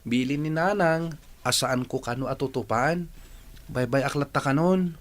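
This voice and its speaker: A man's voice: medium pitch, moderate pace, lightly acted. A man playing a dutiful, easygoing young village son in an Ilocano radio drama. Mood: easygoing, obliging.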